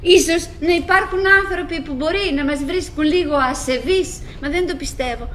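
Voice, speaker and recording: An actress playing a chatty, wistful middle-aged wife in a theatrical radio drama, musing and rambling with dramatic pauses. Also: female voice, high-pitched, close to an everyday voice. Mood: wistful, earnest. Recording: noisy old radio drama recording.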